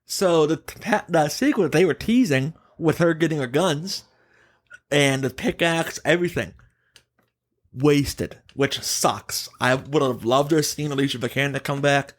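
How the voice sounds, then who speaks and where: Man, slightly high voice, podcast host, casual chat, emphatic, opinionated, self-correcting. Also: unhurried.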